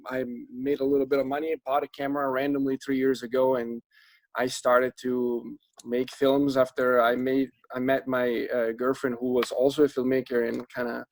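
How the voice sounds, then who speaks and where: A man from Italy with an Italian accent, chatting as a guest in an interview, halting and restarting his sentences. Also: mid-range voice, level tone, no room sound, rough recording. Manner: halting, restarts often, laughs between phrases. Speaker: guest filmmaker and rock singer. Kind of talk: casual interview chat.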